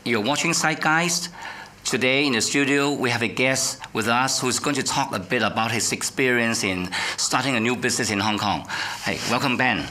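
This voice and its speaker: A man's voice: mid-range voice, moderate pace, sharp tone. A man hosting a studio interview, prompting his guest with questions and frequent short acknowledgments. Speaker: interview host. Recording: studio with clear background noise.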